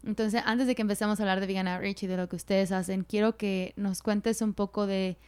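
A woman's voice: medium pitch, steady tone, brisk, darkish tone, smooth. Chatty young woman hosting a podcast. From Mexico, Morelos. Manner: chatty, filler-heavy, laughs easily. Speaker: podcast host. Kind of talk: casual interview chat.

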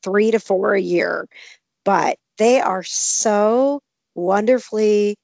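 A woman talks in a hoarse, fried rasp, her voice still worn from losing it. She chats easily, laughing and restarting sentences. The sound is dry and close. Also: mid-range voice, takes it slowly, darkish tone, dry recording, muffled recording. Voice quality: hoarse, gravelly voice. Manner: chatty, laughing, many restarts. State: recovering from lost voice. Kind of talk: casual chat.

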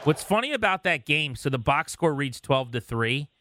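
A man's voice: a little high, sing-song, normal speed, noisy background. An American man hosting a casual sports talk show, chatting conversationally about games.

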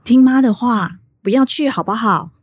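A woman's voice: a little high, moderate pace, slightly rough. An actress with a Taiwanese Mandarin accent playing a worried, pleading mother of a grown son in a radio drama.

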